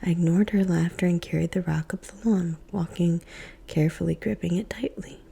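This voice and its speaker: A woman whispers a children's story, slightly low and very dark in tone. The whisper is airy and breathy throughout, gentle and hushed from line to line. She reads in a calm, quiet storytelling manner. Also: fairly quick. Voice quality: soft unvoiced whisper. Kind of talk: whispered ASMR story reading.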